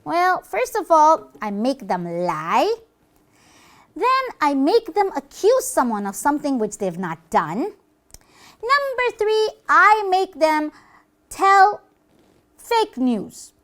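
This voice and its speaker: A woman's voice: high voice, very animated, slow, a bit bright, full character voice. A woman voicing a boastful, gleeful demon henchman in a puppet show, chatty and theatrical as the demon gloats. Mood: gloating, diabolical.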